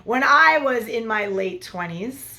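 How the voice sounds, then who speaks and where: A woman in her forties, a love coach giving frank, animated big-sister advice straight to camera. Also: fairly high pitch, measured pace, vocal fry. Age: 40s. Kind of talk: direct-to-camera advice vlog.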